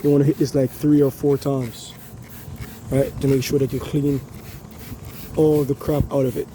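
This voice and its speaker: A hoarse man who has lost his voice, casually explaining an air conditioner cleaning while he works outdoors. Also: mid-range voice, flat delivery, normal speed, warm tone, a little hiss or hum, rough recording. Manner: loose, repetitive, talks while working. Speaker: HVAC technician. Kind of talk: casual how-to demonstration.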